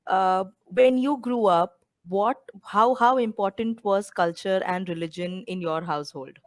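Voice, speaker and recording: A woman hosting and moderating a relaxed panel discussion, pausing often with fillers. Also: mid-range voice, dry recording. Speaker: panel host.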